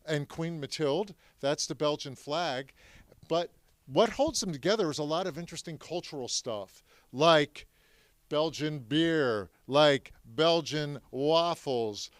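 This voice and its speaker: Guy whose first language is french, lively storyteller quizzing the audience, dry close sound. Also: a little high, no room sound. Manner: animated storyteller, asks the audience questions. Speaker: speaker telling his family's Holocaust story to an audience. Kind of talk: presentation to a live audience.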